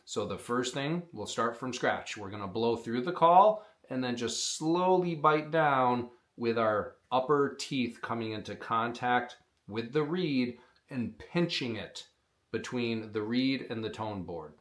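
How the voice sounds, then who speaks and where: Man, middle pitch, plainspoken, step-by-step instruction, tutorial. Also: animated, measured pace. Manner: plainspoken, explains step by step to beginners. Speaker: call maker teaching predator calling. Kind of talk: instructional demonstration.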